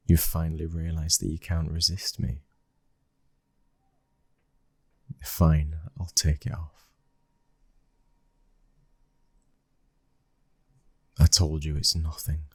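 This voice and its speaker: A man acting as a dominant, devoted mafia boss's son with a British accent. His voice is extremely low and husky, kept to a narrow, restrained melody. He speaks intimately, as in an ASMR boyfriend roleplay. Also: no echo, heavily acted. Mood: reassuring. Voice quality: breathy, husky. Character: smooth-talking, loving, dominant young mafia boss's son. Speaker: audio roleplay voice actor. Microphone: close microphone.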